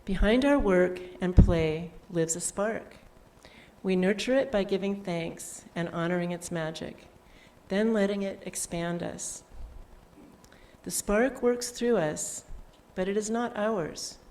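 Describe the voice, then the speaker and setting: A woman leading a church service, reading a prepared reflection in a measured way. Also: mid-range voice, dark tone, rough recording. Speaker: service leader. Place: church sanctuary.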